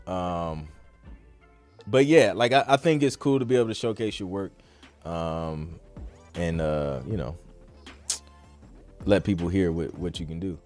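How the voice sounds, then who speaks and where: A man's voice: low-pitched, unhurried, long pauses, darkish tone, faint music behind. An American man hosting a podcast, chatting casually through a live Q&A in an informal, slangy, rambling way. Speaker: podcast host.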